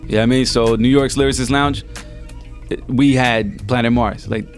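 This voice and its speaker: A man's voice: mid-range voice, fairly even intonation, normal speed, over music. A man from El Salvador, a rapper guest, telling his story in a loose, slangy, laid-back way on a radio show. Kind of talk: casual radio interview.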